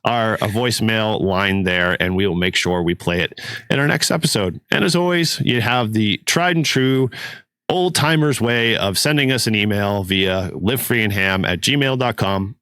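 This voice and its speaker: A man with a raspy, hoarse voice from a cold, co-hosting a podcast in a loose, rambling way with lots of fillers. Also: lowish voice, normal speed, a bit bright, no echo. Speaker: podcast co-host. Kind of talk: casual podcast chat.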